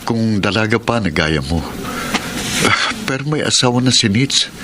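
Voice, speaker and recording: A man's voice: fairly low pitch, lively intonation, moderate pace, close to an everyday voice. An actor playing a troubled, hurt husband who suspects his wife, speaking earnestly with a Filipino accent in radio drama style. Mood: aggrieved, confiding. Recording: noisy radio drama recording with ambience.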